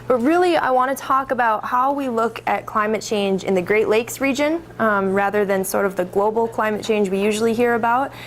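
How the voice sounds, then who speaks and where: A woman's voice: medium pitch, a little hiss or hum. A woman presenting to an audience, explaining with friendly asides and self-corrections. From Minnesota. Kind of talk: public talk.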